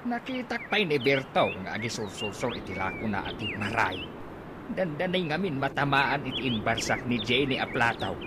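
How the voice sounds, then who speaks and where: A man's voice: medium pitch, very animated, normal speed, lightly acted. A man playing a gossipy, worried Ilocano neighbour in a radio comedy drama, given to exclaiming. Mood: concerned, gossipy. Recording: noisy radio drama with outdoor ambience.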